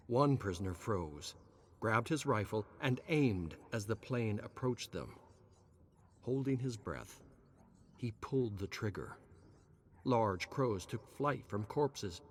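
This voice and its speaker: A man with a fairly low voice delivers scripted story narration. His pitch moves widely and theatrically, building suspense through the phrases. A light bed of ambient noise sits behind him.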